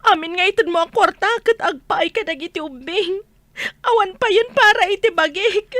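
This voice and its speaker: A very high-pitched, plaintive wronged wife. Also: female voice, a bit bright, no room sound. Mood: hurt, indignant. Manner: plaintive, drawn-out, tearful delivery. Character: wronged, pleading wife and weary mother. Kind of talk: radio drama acting.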